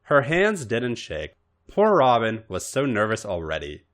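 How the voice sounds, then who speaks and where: A man reading scripted fiction aloud as an expressive story narrator. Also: on the low side, measured pace. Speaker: podcast story reader.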